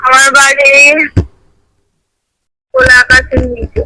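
Young woman on a phone call, snappy sarcastic replies, high voice. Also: completely flat delivery, bright, forceful tone, dry recording. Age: 20s. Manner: sarcastic, quick comebacks. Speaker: prank call target. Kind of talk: phone conversation.

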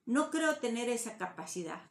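An older woman with a Mexican Spanish accent, reading a prepared conference paper in a measured, formal way over a video call from her home study. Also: on the high side, very animated. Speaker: academic conference speaker. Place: home study with bookshelves, indoors. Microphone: webcam on video call. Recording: roomy echo.

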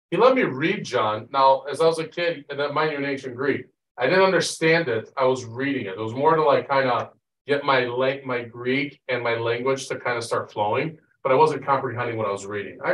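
A man leads a study group in a casual, wandering way, full of asides. His medium-pitched voice comes across a meeting room, echoey and distant from the microphone. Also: fairly bright tone. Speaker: Bible study leader. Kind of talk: casual group teaching and chat. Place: meeting room with long conference table. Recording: roomy, picked up from a distance.

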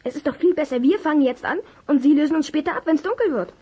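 A woman's voice: high-pitched, fast talker, mellow, lightly acted. A woman playing a cocky, eager boy detective of about twelve in a German children's radio play. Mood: eager, reasoning. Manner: cheeky, eager.

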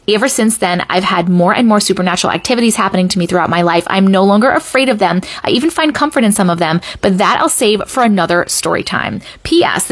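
A middle-aged woman hosting a creepy story video, reading aloud expressively and dramatically with casual asides. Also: mid-range voice. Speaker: host reading viewer-submitted scary stories.

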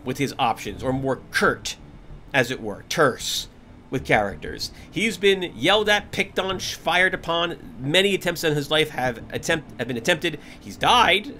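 A man hosting a gaming channel, talking casually and candidly to viewers over gameplay, stuffy with a cold, rambling with restarts and soft laughs. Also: fairly high pitch, slight background noise. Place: at home in front of his computer.

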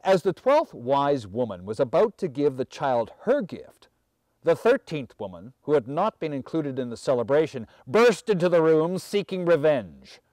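A man in his fifties reading a fairy tale aloud as a storyteller, with measured, theatrical and deliberate phrasing. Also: fairly high pitch, very animated, darkish tone, no echo, rough recording. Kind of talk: dramatic fairy tale narration.

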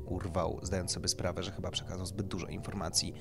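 A man's voice: sits low, level tone, fast, gravelly, over music. A man reading scripted story narration as an audiobook narrator.

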